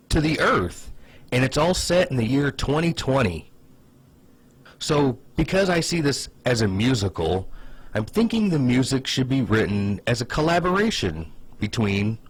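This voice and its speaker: A man's voice: mid-range voice, breathy edge. A man giving casual comedic commentary in a conversational way, with hesitant asides and plenty of "like". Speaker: online video host.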